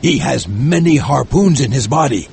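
A man's voice: medium pitch, animated, normal speed, creaky, darkish tone, a little hiss or hum. A man voicing a keen, plain-spoken whaling harpooner in an audiobook story. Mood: eager. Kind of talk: dramatized audiobook character voice.

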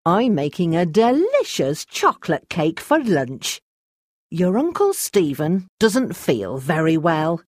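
Woman acting slow, menacing housekeeper with swooping pitch. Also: huge pitch swings, darkish tone, no room sound, clean studio sound, heavily acted. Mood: falsely friendly. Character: big, menacing housekeeper, a scheming mother. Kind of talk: dramatised children's audiobook.

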